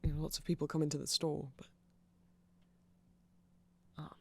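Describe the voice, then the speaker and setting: Casting: performer as shy, nerdy vampire shop clerk, creaky mid-pitched voice, flustered, halting and rambling, intimate audio roleplay. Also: flat delivery, a little mellow. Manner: hesitant, flustered, stammering, apologetic. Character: nerdy, awkward, polite young-sounding vampire shopkeeper. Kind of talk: ASMR roleplay, rambling casual chat.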